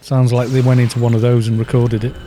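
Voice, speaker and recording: Soft-spoken man streaming a game. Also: mid-range voice, level tone, quick pace, a little hiss or hum. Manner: soft-spoken, rambling, chuckling. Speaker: livestream gamer. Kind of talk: casual chat while gaming, reading chat. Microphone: studio microphone.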